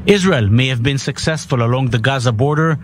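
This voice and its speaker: A man's voice: medium pitch, fairly quick, slight background noise. A man reading a scripted news report as a narrator.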